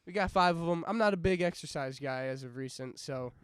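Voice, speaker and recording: A man's voice: on the high side, fairly quick, smooth, dry recording, studio quality. A young man co-hosting a podcast in casual chat, congested with a runny nose, rambling and laughing often. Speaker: podcast co-host.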